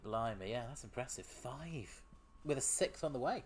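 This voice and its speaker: Guy chatting to his stream while he plays, pitch all over the place, laughs a lot, trips on words sometimes. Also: lively intonation, moderate pace, slight background noise. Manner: chatty, laughs often, reads chat aloud. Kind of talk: casual gaming stream commentary.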